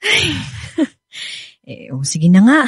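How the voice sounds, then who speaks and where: An actress playing a devoted, motherly middle-aged nanny with a Filipino accent in a radio drama, husky and shyly confiding. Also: fairly high pitch, wildly swooping pitch, slow pace, no echo, clean studio sound, full character voice. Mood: wistful, resigned.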